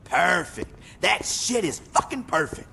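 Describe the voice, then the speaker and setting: High, animated cocky hustler. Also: male voice, unhurried, creaky, noisy background, heavily acted. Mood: pleased, cocky. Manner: slangy, boastful. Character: cocky, excitable male car-shop hustler. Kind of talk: video game cutscene acting.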